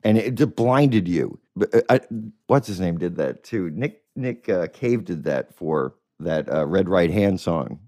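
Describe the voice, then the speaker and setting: Man in his fifties, very deep and lively voice, rambling profane storyteller, dry close mic. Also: measured pace, dry recording. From Connecticut. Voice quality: deep masculine voice. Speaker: podcast guest musician. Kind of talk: casual chat.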